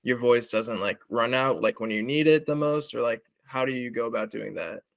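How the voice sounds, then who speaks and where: A man's voice: mid-range voice, moderate pace, vocal fry, dry recording, clean studio sound. A young man hosting an interview, talking casually with lots of "like" fillers. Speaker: interviewer, project creator.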